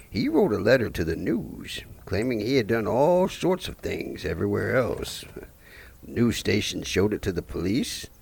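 An actor playing a chatty, folksy, wry gravedigger who narrates an audio play to the listener. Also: male voice, full character voice. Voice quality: low, gravelly. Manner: chatty, rambling, musing. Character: talkative folksy small-town male gravedigger narrator, wry, unhurried.

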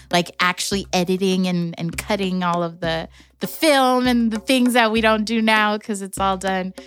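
A young adult woman from Los Angeles telling her family story in a slow, reflective way, with careful restarts. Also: medium pitch, fairly bright tone. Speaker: storyteller, artist and organizer. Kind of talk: personal storytelling.

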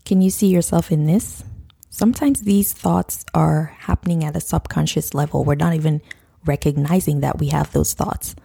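A woman hosting a parenting podcast, talking straight to listeners while croaky from a cold. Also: deep voice, moderate pace, mellow. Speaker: podcast host, nutrition counselor. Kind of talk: scripted podcast intro, then casual talk to listeners.

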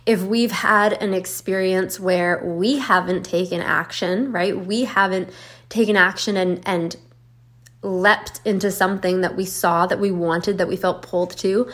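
A woman hosting a casual solo podcast, slightly raspy from being sick, riffing informally and repeating phrases for emphasis. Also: mid-range voice, fairly even intonation, measured pace, a bit bright. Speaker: podcast host and business coach.

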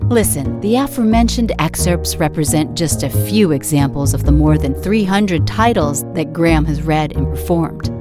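A woman's voice: deep voice, expressive, moderate pace, few pauses, over music. A woman reading scripted narration as the narrator of a podcast.

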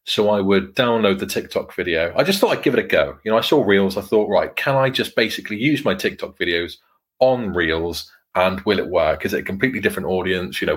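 A man guest in a casual interview with a somewhat low voice. He explains things in a measured, modest way with easy fillers. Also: fairly bright tone. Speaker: guest, mortgage broker.

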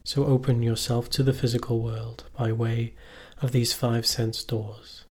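A man with a slightly husky voice guides a meditation at a very slow pace. His pitch stays even and mid-range, and he leaves long pauses between short, calm instructions. He sounds measured, like a teacher leading practice.

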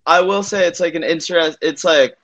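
A man telling a story in casual conversation as a comedian guest, halting and restarting his sentences as he goes. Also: fairly high pitch, a bit bright. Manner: rambling, halting, self-interrupting.

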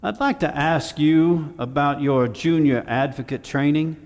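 A man playing a courteous, methodical senator at an inquiry in an audio drama, formal and measured. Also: fairly high pitch, a little mellow. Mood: courteous, probing. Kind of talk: fiction podcast audio drama. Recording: echoey hall with long reverb.

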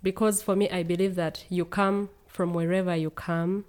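A woman's voice: fairly low pitch, level tone, normal speed, a little mellow. A young woman from Uganda, a guest in a casual conversation, speaking earnestly and laughing easily.

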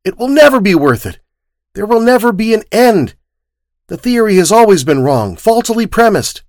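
A man's voice: high voice, sing-song, normal speed, a little mellow, dry recording, studio quality, voice pushed up. A man doing a defiant young researcher. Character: headstrong young male researcher.

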